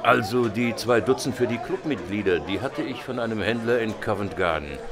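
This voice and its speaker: A man acting a plain-spoken, obliging pub landlord with a gravelly voice in an audio drama. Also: lowish voice, expressive, normal speed. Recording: busy pub crowd behind.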